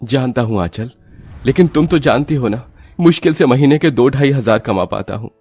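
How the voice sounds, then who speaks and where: A man as an earnest poor husband, raised pitch. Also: lively intonation, moderate pace, darkish tone, slightly rough, dry recording, soft background music. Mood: burdened, exasperated. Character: poor, hardworking young husband, tender and anxious. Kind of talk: Hindi cartoon story voice acting.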